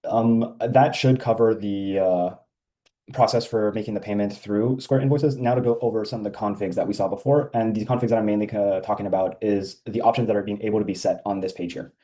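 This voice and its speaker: A man giving an informal, step-by-step software walkthrough as a demo presenter. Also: fairly low pitch, fairly even intonation, fairly quick. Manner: explanatory, step by step.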